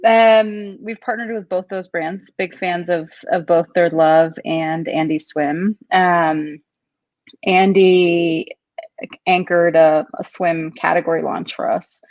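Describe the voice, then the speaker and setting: Low-voiced woman on a dull, dry line. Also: fairly even intonation, takes it slowly, dry recording. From Kuwait. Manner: thoughtful, upbeat, frequent ums and you-knows. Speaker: company founder and CEO, guest. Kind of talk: casual interview conversation.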